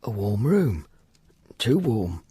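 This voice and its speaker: An actor playing a bewildered, tender-hearted old man with a husky, breathy voice and halting, wondering delivery in a radio drama. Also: lowish voice, big pitch swings, warm tone, no room sound, exaggerated voice.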